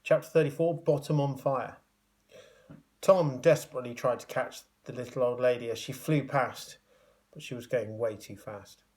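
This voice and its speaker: A man reading a children's story aloud like a schoolteacher, with expressive, dramatic emphasis. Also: medium pitch, moderate pace. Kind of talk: animated children's storybook narration.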